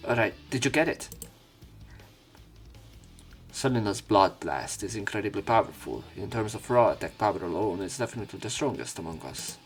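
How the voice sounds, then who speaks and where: A low-pitched man reads a visual novel aloud for a let's play. He stumbles now and then over the lines and drops in casual commentary. Game music plays behind him. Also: lively intonation, normal speed.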